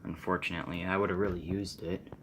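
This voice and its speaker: A man giving a casual, unscripted demonstration, halting and offhand as he thinks aloud. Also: sits low, flat delivery, noisy background. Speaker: video creator demonstrating a vintage radio.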